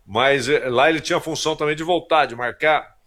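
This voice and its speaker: A man with a Brazilian accent giving casual, anecdotal sports commentary in a halting, conversational way. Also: on the high side, fairly bright tone.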